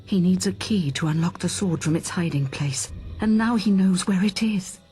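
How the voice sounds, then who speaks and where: An actress playing a knowing, urgent guide in a fantasy video game, speaking earnestly. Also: female voice, fairly low pitch, normal speed, dark tone, soft background music, lightly acted. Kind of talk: video game drama acting.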